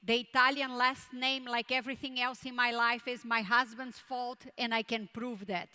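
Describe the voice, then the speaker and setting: A woman from Brazil with a Brazilian Portuguese accent, whose first language is Portuguese, giving a conference keynote speech with measured phrasing and dramatic pauses. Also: on the high side, level tone, sharp tone, clean tone. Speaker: keynote speaker.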